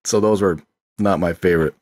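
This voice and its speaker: A man chatting casually about films as a podcast guest, telling stories in an animated way and acting out quotes. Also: deep voice, a little fast. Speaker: podcast guest, film director. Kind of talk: casual film discussion chat.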